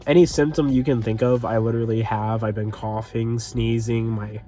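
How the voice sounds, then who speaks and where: A man's voice: mid-range voice, little pitch movement, moderate pace, mellow, soft background music. A young man with a congested, sniffly voice, hosting his own channel and talking casually about football, rambling with fillers and restarts. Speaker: sports commentary channel host. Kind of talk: casual solo commentary.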